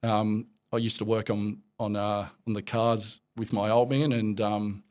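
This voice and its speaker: An Australian man in his forties, a podcast guest talking in a relaxed, rambling way with lots of fillers and restarts. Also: lowish voice, measured pace, smooth. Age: 40s. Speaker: podcast guest, company executive. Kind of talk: casual interview chat.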